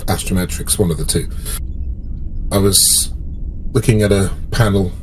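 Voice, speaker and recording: A man's voice: sits low, steady tone, moderate pace, a little hiss or hum, natural voice. A man voicing an injured, understated starship commander in a tabletop roleplay, hesitant and drily brushing off his harm. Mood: wry, sheepish.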